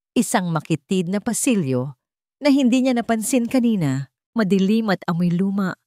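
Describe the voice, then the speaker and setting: A woman with a Filipino accent narrates a scripted drama. Her voice sits low, and the sound is dry, with hardly any room in it. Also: dark tone, no room sound. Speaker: story narrator.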